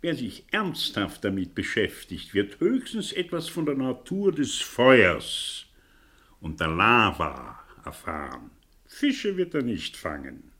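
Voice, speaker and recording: Scornful, cryptic schoolmaster for radio drama; emphatic, patronising, slow delivery with wide pitch swings. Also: male voice, huge pitch swings, sharp tone, heavily acted. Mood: sardonic, oracular. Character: scornful, cryptic male teacher lecturing his young pupil. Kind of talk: theatrical radio drama monologue.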